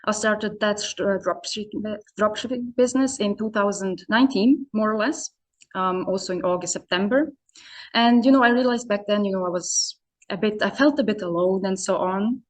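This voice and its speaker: A woman originally from Serbia talks through her story in relaxed, conversational English. She hesitates often, leaning on "you know" and restarting sentences as she goes. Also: medium pitch, moderate pace. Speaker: podcast guest, community founder. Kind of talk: casual interview answers.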